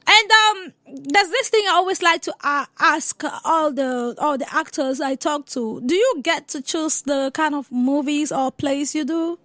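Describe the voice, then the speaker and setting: Woman, high voice, radio host, casual interviewing, dry close sound. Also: measured pace, few pauses, bright, forceful tone, no room sound. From Africa.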